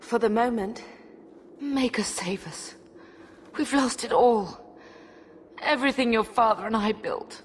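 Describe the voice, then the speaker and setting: Woman doing a middle-aged mother in flight, weary, pleading, slow, faint outdoor ambience. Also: on the high side, slight background noise, lightly acted. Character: grieving middle-aged mother fleeing war.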